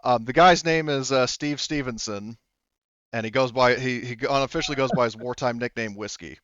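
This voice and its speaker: A man improvising narration as a tabletop game master, rambling and haltingly correcting himself as he goes. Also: mid-range voice, a bit bright, no echo, highs cut off. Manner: rambling, halting, self-correcting, full of "you know".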